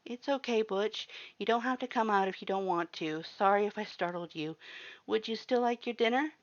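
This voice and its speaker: Woman playing earnest, kindly young lead in an audio story; soft, coaxing, lifted pitch, slightly dull recording. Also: moderate pace, close to an everyday voice. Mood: gentle coaxing. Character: kind, earnest young human newcomer, gently coaxing.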